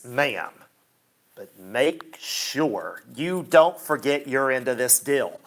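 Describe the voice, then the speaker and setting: A man's voice: on the high side, fairly bright tone, full character voice. A pompous theatre critic acted by a man in a radio play, resentful and craven beneath his grand airs. His theatrical voice rises and falls widely as he talks. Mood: bitter, grandiose.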